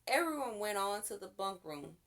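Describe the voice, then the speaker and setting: A woman's voice: medium pitch, moderate pace. A woman reading a children's story aloud expressively, as if to young listeners. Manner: expressive, with character voices and asides to listeners.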